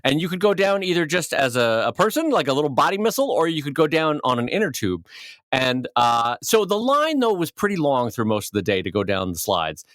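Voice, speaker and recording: A man co-hosting a podcast, telling a funny story in a relaxed, animated way and laughing along as he goes. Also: on the high side, fairly quick, no echo. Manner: animated, laughing storyteller who puts on silly voices. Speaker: podcast co-host. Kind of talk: casual storytelling chat.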